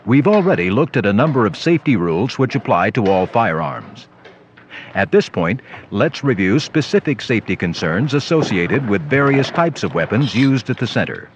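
A man's voice: medium pitch, sing-song, normal speed. A man reading scripted instructional narration for a training film. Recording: dull lo-fi old videotape with noise.

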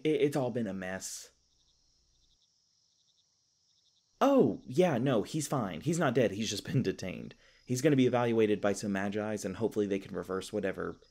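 Man playing a low-voiced, flustered elf park ranger. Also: a little mellow. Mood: stressed, apologetic. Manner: rambling, stammering, apologetic, self-deprecating humor. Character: ancient but youthful male elf park ranger, flustered, kindly. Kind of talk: fantasy audio roleplay, casual conversational acting.

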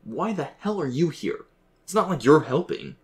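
Story role: male narrator as defensive, wounded son; raised pitch, emphatic, very animated delivery. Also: brisk. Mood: exasperated. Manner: exasperated, emphatic outbursts. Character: angry, hurt adult son, exasperated and defensive. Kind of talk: audiobook character dialogue.

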